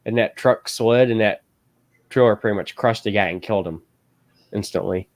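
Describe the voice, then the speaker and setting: A young man with a fairly low voice joins a livestream as a guest and chats casually. He tells stories in a laid-back way and answers with short agreeing replies. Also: moderate pace. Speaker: guest, ag class student.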